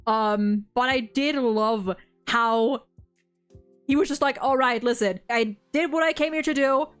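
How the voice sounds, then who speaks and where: A woman with a fairly high voice hosts a casual livestream discussion. She is animated and blunt, swears easily, and speaks over faint music. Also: measured pace, clean tone. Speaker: host ranking game story quests with a guest.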